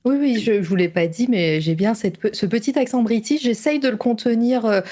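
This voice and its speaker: A woman chatting as a player in a tabletop role-playing game, describing her character in a lively way. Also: fairly high pitch, a little fast. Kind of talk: casual tabletop play.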